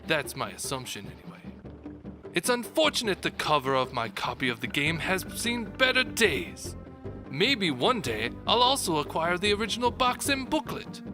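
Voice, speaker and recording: A man's voice: sits high, very animated, normal speed. A man giving a dramatic, mock-grand reading of a scripted game review. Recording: music behind the voice. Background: background music.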